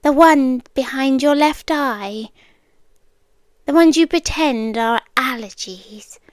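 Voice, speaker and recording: A woman acts out an eerily calm, possessive yandere girlfriend with a British accent and a high voice. She speaks slowly and deliberately, often sinking into a whisper. It is an intimate ASMR roleplay, very close and dry on the microphone. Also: dry recording. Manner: measured, deliberate phrasing, often dropping into whispers.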